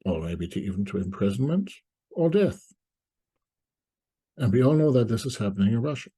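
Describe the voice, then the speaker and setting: A middle-aged man from East Germany, giving reflective spoken commentary to viewers in deliberate short phrases with pauses. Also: lowish voice, sing-song, mellow, husky. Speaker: commentator presenting a video essay.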